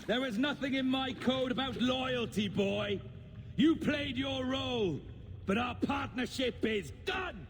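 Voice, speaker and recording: A man's voice: very high voice, measured pace, a little hiss or hum. A man playing a cunning, cynical pirate captain in a video game, theatrical and taunting. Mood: gloating, menacing. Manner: theatrical, taunting, rhetorical questions. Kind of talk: dramatic video game acting.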